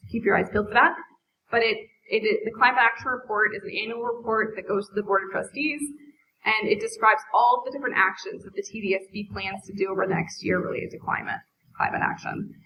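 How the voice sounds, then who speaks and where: A woman on school board sustainability staff, explaining her work briskly on a panel. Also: medium pitch, pushed and bright, a little hiss or hum, low-quality recording. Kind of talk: panel talk.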